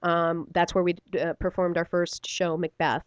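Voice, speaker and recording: A woman's voice: lowish voice, little pitch movement, normal speed, clean tone, dry recording, muffled recording. A middle-aged woman, a stage actor, chatting animatedly in an interview, laughing often and using many fillers. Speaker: stage actor and theater company member. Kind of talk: radio interview, casual chat.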